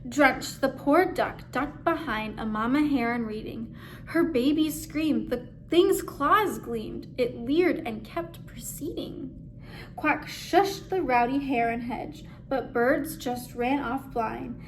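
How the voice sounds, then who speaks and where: A woman reading a picture book aloud expressively, with measured, dramatic phrasing. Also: high voice, slight background noise. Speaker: story reader.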